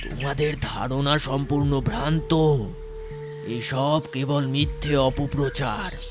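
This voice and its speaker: Man acting a slow, grave village landlord. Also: mid-range voice, fairly even intonation, natural voice. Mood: stern denial. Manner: solemn, deliberate, authoritative. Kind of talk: horror audio drama acting. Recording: music behind the voice.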